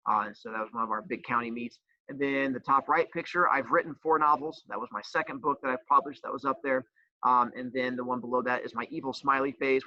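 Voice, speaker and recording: A man's voice: mid-range voice, no room sound, muffled recording. A man teacher who also coaches, explaining a homework assignment with playful jokes and teasing. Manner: says he has a loud voice.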